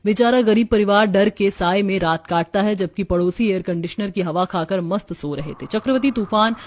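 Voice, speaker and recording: Woman narrating a cartoon story, medium pitch. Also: moderate pace.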